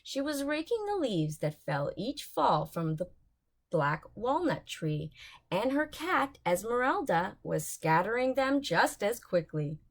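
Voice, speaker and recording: A woman's voice: medium pitch, very animated, measured pace. A woman reading bedtime storybooks aloud to young children, expressively, with dramatic emphasis on key words.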